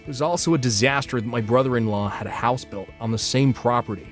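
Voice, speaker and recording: A man's voice: mid-range voice, fast, soft background music, natural voice. A man narrating a scripted first-person story as a married man who lost his job. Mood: matter-of-fact.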